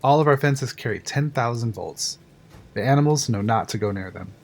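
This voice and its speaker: A man's voice: medium pitch, moderate pace, close to an everyday voice. A man playing a proud, matter-of-fact control-room engineer in an audio drama, explaining with confidence. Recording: music under the voice. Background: music.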